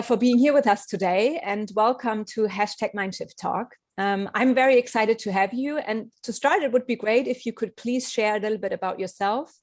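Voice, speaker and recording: Woman podcast host. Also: medium pitch. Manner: measured, reads guest intro, then soft affirming mm-hmms. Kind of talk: scripted introduction and interview questions.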